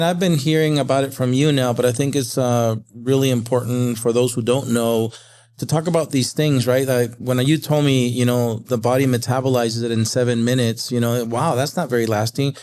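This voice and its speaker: A man from Peru hosting a podcast, asking questions with frequent fillers and reactions. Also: mid-range voice. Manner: warm interviewer, many "you know" and "uh" fillers. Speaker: podcast host. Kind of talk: interviewing a guest.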